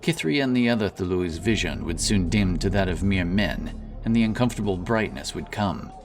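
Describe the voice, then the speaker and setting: A man's voice: sits low, animated, normal speed. A man narrating a fantasy tale in a solemn, dramatic, scripted storytelling style. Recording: music under the narration.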